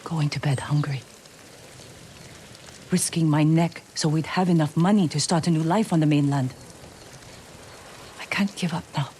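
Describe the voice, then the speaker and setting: A woman playing a weary, guarded survivor in a video game, speaking in a hushed, firm, regretful manner. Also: sits low, steady tone, moderate pace, long pauses, slight background noise, natural voice. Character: weary, guarded woman thief seeking new life. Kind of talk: dramatic video game acting.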